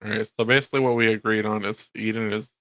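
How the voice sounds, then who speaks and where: A man's voice: lowish voice, fairly even intonation, fast, fairly bright tone, no room sound. A man in his mid-twenties, a podcast guest trading casual, teasing banter with friends. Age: mid 20s.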